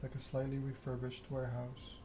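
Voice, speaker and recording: Male ASMR narrator reading second-person fiction. Measured, unhurried, narrow pitch range, with clear background noise. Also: monotone. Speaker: video game streamer reading game text aloud.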